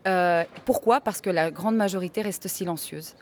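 Soft-spoken earnest woman, halting speech. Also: fairly low pitch. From North Macedonia. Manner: earnest, halting with frequent fillers. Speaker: activist guest. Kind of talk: interview answer.

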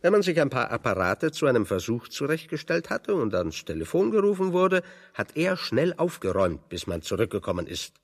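An actor playing a wry, pedantic intellectual exile in German radio drama, explaining things with irony. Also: male voice, medium pitch, sing-song, fairly quick, hardly any pauses, big-room sound. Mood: wry, anecdotal. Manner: pedantic, ironic, expository. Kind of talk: German radio play dialogue.

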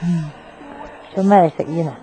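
A woman's voice: on the low side, steady tone, a little slow, mellow, slight background noise, lightly acted. An actress playing a brash, nosy young woman drinking at a bar in a radio drama, cheeky and coaxing with a Hong Kong Cantonese accent. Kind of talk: naturalistic radio drama acting. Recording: music behind the voice.

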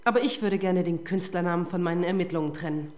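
Eine Schauspielerin spielt in einem Krimihörspiel eine beharrliche Kriminalbeamtin, trocken, knapp und leicht spöttisch. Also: female voice, lowish voice, quick pace, darkish tone, natural voice. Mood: dry, insistent. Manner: dry, curt, sardonic. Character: dry, persistent female police detective. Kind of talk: crime radio drama acting.

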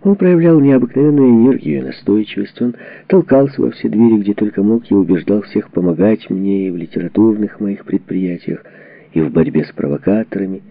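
A man reading a memoir as a scripted audiobook narration, with measured, dramatic phrasing and expressive delivery. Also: on the low side, vocal fry. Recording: dull, muffled lo-fi audio.

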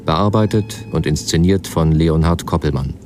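A man's voice: extremely low pitch, normal speed, darkish tone. An actor serving as the male announcer, reading out the titles of a radio drama. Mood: formal. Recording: music behind the voice.

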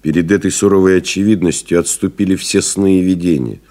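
An actor playing a pilot's devoted friend, solemnly retelling the ordeal in measured, rhetorical literary radio play narration. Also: male voice, deep voice, steady tone, mellow, natural voice. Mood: sober. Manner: grave, measured, rhetorical, building in intensity.

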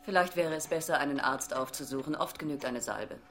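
An actress playing a nagging, self-centred wife in a German radio play, with a haughty, reproachful manner. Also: female voice, deep voice, a little fast, fairly bright tone, soft background music. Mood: cool advice.

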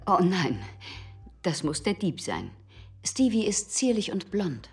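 A woman playing a guarded, impassive shopkeeper's wife with a husky voice and terse answers in a radio crime drama. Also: on the low side, slow pace, faint music behind, full character voice. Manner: flat, terse, withholding.

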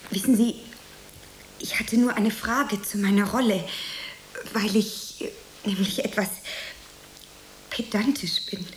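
A woman playing a nervous, earnest young actress starting out in a radio drama, speaking in a hesitant, breathy way. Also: mid-range voice, takes it slowly, pauses often, a little hiss or hum, lightly acted, poor audio. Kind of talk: German radio play acting.

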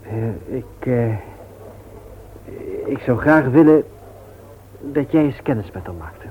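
An actor playing an earnest, reserved widowed father in a radio play, speaking in a hesitant, halting way. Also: mid-range voice, animated. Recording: tinny old radio recording with hiss.

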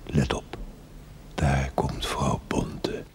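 An actor playing a wry, teasing confidant in a television drama, with a Dutch accent and a hushed, sardonic delivery. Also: male voice, very deep voice, level tone, drawn-out words, in a whisper, exaggerated voice. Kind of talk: television period drama acting.

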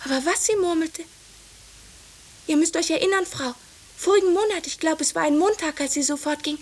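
An actress playing an excitable, self-important teenage servant girl in a 1950s German radio play about a witch hunt, speaking breathlessly. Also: extremely high pitch, a little fast. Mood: insistent.

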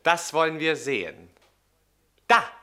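An actor in a radio play voicing an arrogant young Roman gentleman, a mocking bully who is a coward at heart. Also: on the high side, big pitch swings, slow, exaggerated voice. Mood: sneering, amused. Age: young adult. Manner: sneering, turning whiny and pleading when threatened.

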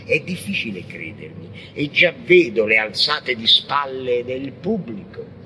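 An actor playing a frenzied, blasphemous visionary poet in an avant-garde radio monologue, declaiming theatrically and lurching between whispers and shouts. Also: male voice, mid-range voice, slight background noise, rough recording. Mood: scornful. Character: frenzied, blasphemous visionary poet ranting against God and man.

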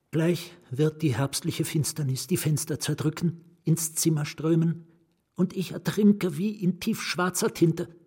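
An actor playing an anguished, fearful novelist in a radio drama, narrating his own ruin with a husky voice that drops into whispers. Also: medium pitch, a little mellow. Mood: terrified, pleading. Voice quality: husky, breathy. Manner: deliberate, dropping into whispers. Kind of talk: literary radio drama first-person narration.

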